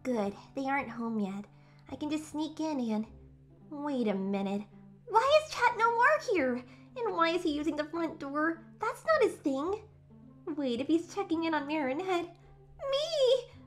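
A woman voicing a feisty, affectionate, excitable teenage superheroine in a fan comic dub, with expressive delivery. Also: high voice, unhurried, faint music behind. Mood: puzzled. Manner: expressive, exclamatory.

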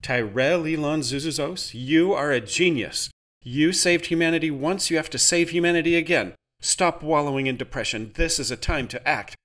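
A man playing a forceful commanding woman. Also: a little high, moderate pace, voice pushed up, close to an everyday voice. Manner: barking, urging.

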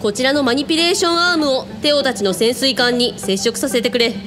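Woman playing female boss, high pitch, directive, teasing, casual phrasing, radio drama, background music. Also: fast talker, natural voice. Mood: commanding. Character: commanding, teasing female hotel owner with psychic powers.

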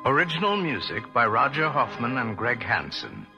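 A man's voice: medium pitch, huge pitch swings, normal speed, dull audio. A man working as an announcer, reading scripted radio credits. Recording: radio credits over loud music.

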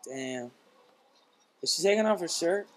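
High-pitched, animated young man. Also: unhurried. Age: young adult. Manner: crude, profane banter. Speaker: home video maker among friends. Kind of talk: casual joking chat. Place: small lamp-lit basement living room, on a couch. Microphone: laptop's built-in microphone at arm's length.

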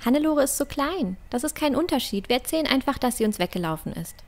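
A woman's voice: high voice, very animated, quick pace. A woman playing a cheeky, adventurous, optimistic girl in a fantasy audio drama. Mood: eager, upbeat.

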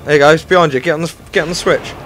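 A British man doing casual, excitable let's play commentary, often repeating himself, with a video game behind him. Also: on the high side, very fast. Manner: excitable, repetitive exclamations. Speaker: gaming commentator. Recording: loud game music and machinery behind. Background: video game music and action sounds.

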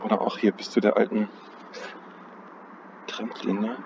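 A man's voice: on the low side, flat delivery, unhurried. A man casually talking through trading charts, thinking aloud and hesitant, often correcting himself, recorded outdoors on a cold day. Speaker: trading analyst. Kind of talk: casual chart analysis commentary. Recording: dull lo-fi audio with outdoor noise.

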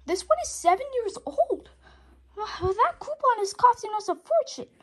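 A female reader voicing an excitable, bold cartoon alligator detective with halting exclamations. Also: extremely high pitch, voice pushed up. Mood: loud, exasperated.

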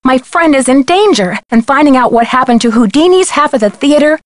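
A determined young woman detective from a video game. Her voice sits high and is recorded dry. She speaks with earnest courtesy. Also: expressive, fairly quick, no echo.

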